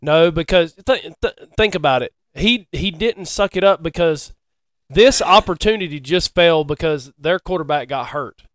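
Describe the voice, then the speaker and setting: Man, clear smooth voice, halting casual delivery, sports talk co-host. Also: a little high, animated, fairly bright tone. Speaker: podcast co-host.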